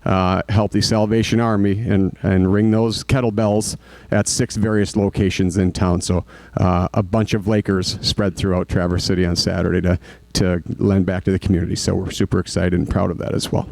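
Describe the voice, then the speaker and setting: A man giving a school activities report at a board meeting, halting, with many fillers and restarts. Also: low voice, little pitch movement, creaky, a little mellow. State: recovering from hoarseness.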